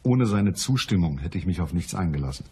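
A man's voice: low-pitched, animated, brisk, mellow, breathy edge, exaggerated voice. An actor playing a shrewd, businesslike male private detective in a radio crime play.

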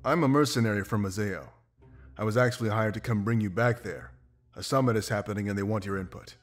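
An actor playing a confident, pragmatic wolf mercenary in a video game, blunt and self-assured. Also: male voice, on the low side, fast, lightly acted. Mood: matter-of-fact. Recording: music behind. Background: game music.

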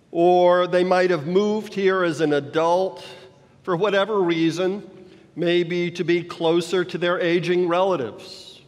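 An older man in his sixties preaching a sermon from a lectern in a church sanctuary, teaching slowly and checking in with his listeners. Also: sits high. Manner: slow teaching delivery, checks in with the congregation. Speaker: pastor. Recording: long hall reverb in a church.

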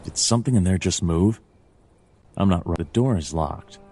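An actor playing a weary, deadpan detective in a noir adventure game, muttering dryly to himself. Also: male voice, low voice, moderate pace, slight background noise. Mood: dry, unimpressed.

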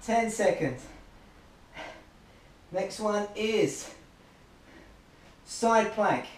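A man's voice: sits high, animated, extremely slow, slight background noise. A man, coaching a home workout while he exercises, upbeat and encouraging, a bit out of breath. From Australia. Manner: upbeat, encouraging countdowns and cues. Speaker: running club fitness coach. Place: living room at home, indoors. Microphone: camera across the room. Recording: roomy, distant camera audio.

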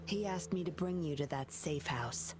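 An actress voicing a composed young woman investigator who speaks in a calm, measured, slightly teasing way in a game. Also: deep voice, faint music behind. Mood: explaining.

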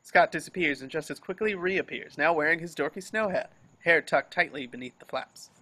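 Bright-voiced man reading stage directions. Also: fairly high pitch, normal speed. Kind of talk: scripted narration at an amateur table reading. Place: remote video call.

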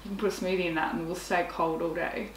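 A woman's voice: fairly low pitch, steady tone, quick pace. A woman, a guest chatting through practical kitchen tips at a home kitchen table. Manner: friendly and practical, explains step by step. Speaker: guest food and kitchen expert. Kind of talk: casual explanatory chat. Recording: roomy echo, faint background hum.

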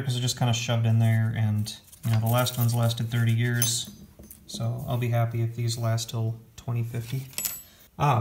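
Man, forties, mid-pitched voice, casual repair host, plainspoken, matter-of-fact, workshop recording. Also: a little hiss or hum. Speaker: home repair video host. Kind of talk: casual hands-on repair explanation. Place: indoor home workshop.

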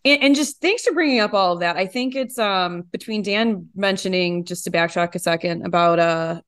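A woman's voice: lowish voice, normal speed, fairly bright tone, no echo. A woman hosting a podcast interview, chatting informally and reacting in rambling, loosely connected phrases. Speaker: podcast host. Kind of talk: casual podcast interview chat.

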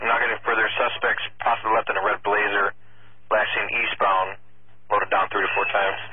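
A man's voice: on the low side, monotone, brisk, husky. A man working as a police dispatcher, giving clipped, procedural calls over a police radio. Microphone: radio transmission captured from scanner feed. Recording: tinny phone-like scanner radio, faint noise.

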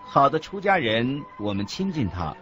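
A man playing an earnest Buddhist master who teaches in a measured, didactic way in a scripted radio drama. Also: mid-range voice, sing-song, a bit bright. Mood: earnest, instructive. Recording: dull lo-fi audio with background music. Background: music.

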